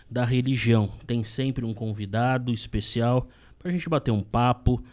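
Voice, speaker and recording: An upbeat young man hosting a relaxed podcast, with a mid-range voice. He welcomes listeners and guests cheerfully and plugs the show's channels. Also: mid-range voice. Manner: upbeat, promotional. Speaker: podcast host.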